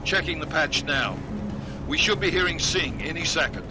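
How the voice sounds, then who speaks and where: Guy playing clipped military radio operator in a game, highish voice, big music behind. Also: moderate pace, natural voice. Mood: focused. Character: alert, businesslike male mission operator on comms. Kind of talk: video game voice acting.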